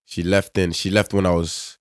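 Young male guest from Togo, very deep, casual and slangy, dry close recording. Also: little pitch movement, moderate pace, no echo. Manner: laid-back, slangy, lots of "you know", trails off quietly. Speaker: guest, soccer player. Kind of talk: casual interview.

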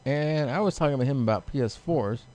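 A man's voice: medium pitch, a little fast, a little mellow. A man chatting casually about video games as a co-host, blunt, profane and prone to wandering off on tangents.

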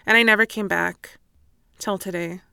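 A woman's voice: medium pitch, fairly even intonation, normal speed, vocal fry, noisy background, natural voice. A woman playing a guarded, wry young woman home for a funeral in an audio drama, casual and self-interrupting. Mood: reflective. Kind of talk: naturalistic audio drama monologue and dialogue.

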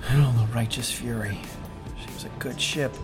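A man playing a rattled, sarcastic starship chief engineer who mutters haltingly to himself in an audio drama. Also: mid-range voice, over music, natural voice. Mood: uneasy, searching. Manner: halting, self-talking, wry.

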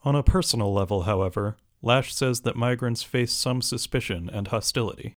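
A man reading a scripted radio news report in a measured, even way. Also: mid-range voice.

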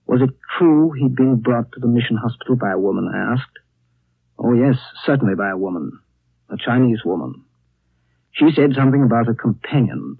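An actor playing a reflective storyteller recounting a strange tale in a deliberate, suspenseful 1930s radio style. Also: male voice, medium pitch, breathy edge, no echo, phone-quality sound. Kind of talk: 1930s radio acting, dramatic narration. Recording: thin, dry 1930s radio broadcast.

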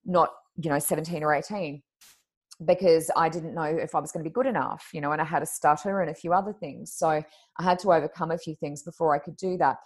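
A woman with a naturally deep voice, talking in a relaxed, unhurried way. Her pitch sits very low, and her speech is loose and conversational, with little pauses and fillers as she thinks aloud. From Australia. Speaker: podcast co-host and card reader. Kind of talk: casual conversational chat.

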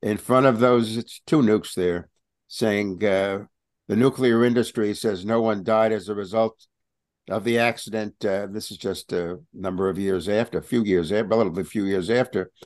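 A man talking as a guest on a video call, halting with many pauses and restarts. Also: lowish voice, steady tone, a little mellow, no echo. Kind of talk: casual talk over video call.

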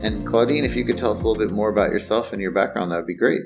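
A man's voice: fairly low pitch, rapid-fire, vocal fry, music in the background. A man hosting a podcast, upbeat and casual, asking questions and saying "right?" often. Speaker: podcast host.

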